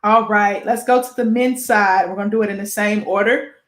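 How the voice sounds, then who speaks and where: A woman's voice: medium pitch, steady tone, fairly quick, fairly bright tone, roomy sound. A woman with an American Midwest accent hosting a sports show casually, chatty and self-deprecating as she reads through lists and sounds out names.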